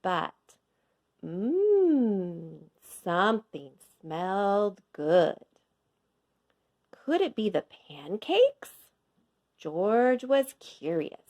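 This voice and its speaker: A woman reading a children's storybook aloud as a teacher would, with expressive, measured storytelling for young children. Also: mid-range voice. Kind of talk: scripted children's storybook narration.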